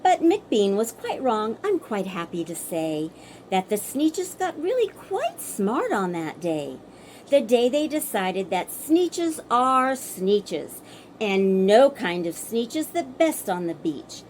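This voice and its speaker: A woman storyteller performing a children's bedtime story in rhythmic verse, theatrical and expressive. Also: medium pitch, slight background noise, poor audio.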